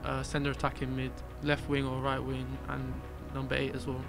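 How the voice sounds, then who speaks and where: A young man in his late teens from south London with a South London accent, a young footballer giving calm, confident interview answers outdoors in a stadium. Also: medium pitch, normal speed, vocal fry. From South Norwood, south London. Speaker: academy footballer. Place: outdoors in empty stadium stands. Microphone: stand-mounted mic. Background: background music.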